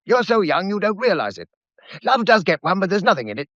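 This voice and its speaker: Gentleman, impulsive, clipped, radio drama, very varied intonation, muffled sound. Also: high-pitched, very animated, a little fast, dry recording. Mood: scornful, opinionated.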